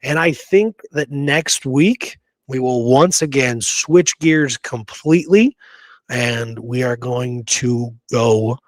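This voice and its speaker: A man's voice: medium pitch, slow, dry recording. An American man from the Pacific Northwest co-hosting a casual history discussion, informal and emphatic, repeating words for stress. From Pacific Northwest, United States. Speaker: show co-host and history researcher.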